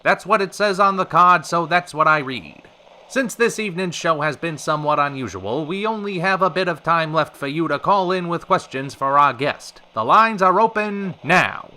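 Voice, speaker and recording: A man's voice: fairly high pitch, expressive, moderate pace, pushed and bright. A man playing a wry, chivalrous radio talk show host in a polished, theatrical old-time style. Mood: showman-like.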